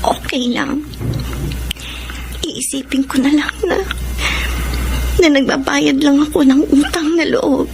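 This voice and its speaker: A woman's voice: high voice, fairly even intonation, slow pace, pauses often, a little mellow, slight background noise. A woman playing an earnest young heroine with a Filipino accent, acting and narrating her own story emotively in a radio drama. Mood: resigned. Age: young adult. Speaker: lead actress and narrator.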